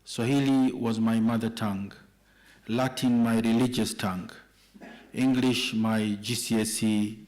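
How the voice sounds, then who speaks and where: A man's voice: on the low side, steady tone, a little slow, a little mellow. A middle-aged man from Kenya, speaking as a respondent at an evening panel discussion in a joking, conversational way. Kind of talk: public talk at an evening panel.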